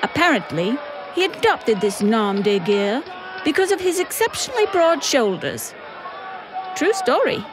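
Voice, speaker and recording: A woman narrates a comic tale with wry theatrical flair. Her voice is high and very lively, and loud music plays behind it. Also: a little slow, crowd noise.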